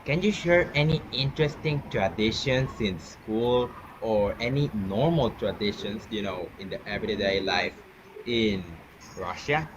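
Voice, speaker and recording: Brief: teen boy from Colombia, Spanish speaker, hosts a student interview, slow and careful, muffled noisy recording. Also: fairly low pitch. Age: teens. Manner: slow, careful non-native English with restarts. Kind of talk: casual school podcast interview. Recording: muffled with noticeable background noise.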